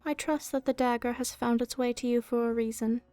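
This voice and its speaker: A woman voicing an ancient, gentle female dragon in a soft ASMR roleplay, speaking wistfully and formally, as if telling a storybook tale. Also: a little high, fairly even intonation, a little fast, no echo, soft background music, lightly acted. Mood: gentle, solemn.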